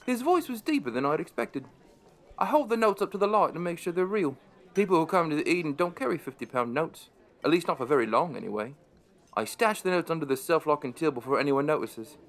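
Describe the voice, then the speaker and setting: A man's voice: fairly high pitch, lively intonation, a little fast. An actor playing a wry, chatty pub landlord, narrating his story in a conversational way for an audio drama.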